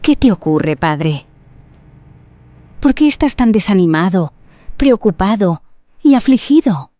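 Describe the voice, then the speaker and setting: A woman's voice: medium pitch, big pitch swings, takes it slowly, mellow, no echo, highs cut off. A woman playing a brave, devoted, learned young daughter in a dramatized audio story, with an earnest theatrical delivery. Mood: concerned.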